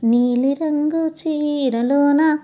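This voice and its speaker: A woman's voice: high-pitched, drawn-out words. A woman telling a romantic story in the first person, expressively and speaking straight to listeners. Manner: expressive, addresses listeners directly, acts out dialogue. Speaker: storyteller, channel host.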